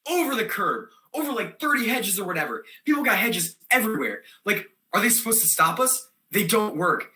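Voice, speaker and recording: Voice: mid-range voice, very animated, brisk, hardly any pauses, sharp tone. An actor playing a scatterbrained, defiant theater student telling a comic monologue in slangy, rambling style, given to sudden outbursts. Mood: frantic, excited. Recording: echoey room.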